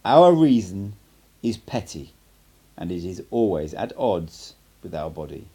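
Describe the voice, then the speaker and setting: An assured male sorcerer mentor from an audiobook, read by a man. He speaks fairly low, with lively rises and falls. His teaching is patient, cryptic and emphatic, delivered in measured phrases. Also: husky, heavily acted. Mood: solemn, emphatic.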